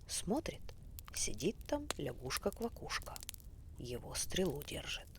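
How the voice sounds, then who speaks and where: A woman narrating a bedtime fairy tale in a soft, often whispery, unhurried voice. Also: sits low, very animated. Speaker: storyteller. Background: faint fireplace crackle.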